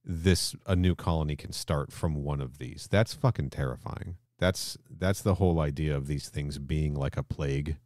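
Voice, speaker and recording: Male, very low voice, podcast co-host, emphatic casual discussion, sweary, dry close sound. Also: moderate pace, few pauses, darkish tone, no echo. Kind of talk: casual discussion and film plot recap.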